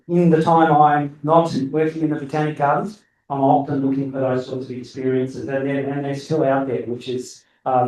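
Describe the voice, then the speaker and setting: A middle-aged man with a booming voice, talking loosely to a club as guest speaker and often correcting himself. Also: a little mellow. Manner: loose, rambling, self-correcting. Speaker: guest speaker, landscape architect. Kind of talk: informal talk to a club. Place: meeting room. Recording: roomy echo, distant camera.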